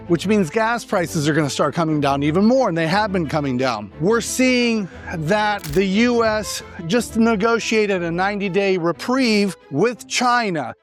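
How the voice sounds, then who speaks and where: A man from Argentina speaking as a real estate agent, explaining things informally and emphatically, posing questions and answering them himself. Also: sits high. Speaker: real estate agent giving market advice. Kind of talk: informal explanatory monologue to camera.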